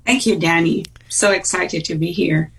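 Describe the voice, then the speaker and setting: A woman, a talk-show guest recounting her life in a thoughtful, unhurried way with frequent pauses. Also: lowish voice, steady tone, gravelly, slightly rough, far from the mic. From Uganda. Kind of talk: personal testimony in a talk-show interview.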